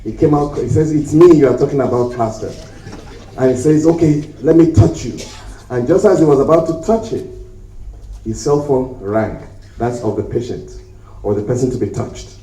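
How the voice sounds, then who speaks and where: A man's voice: medium pitch, expressive, moderate pace, mellow, distant mic, a little hiss or hum. Man speaking in an echoey hall. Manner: jokey storytelling, acting out quoted voices. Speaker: conference speaker. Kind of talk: conference talk with humorous anecdotes. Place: hotel conference hall. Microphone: handheld mic, later set down.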